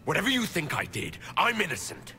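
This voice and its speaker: A man playing a bewildered, earnest and defensive prisoner in a fantasy game, speaking haltingly. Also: a little high, huge pitch swings, slight background noise, exaggerated voice. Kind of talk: dramatic fantasy game acting. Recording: loud game music behind the voice.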